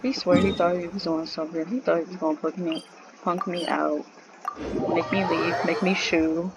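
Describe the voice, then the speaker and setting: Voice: mid-range voice, steady tone, moderate pace, background noise. A gaming channel host giving casual, slangy, offhand reaction commentary over gameplay. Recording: dull lo-fi mic over game music. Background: game music.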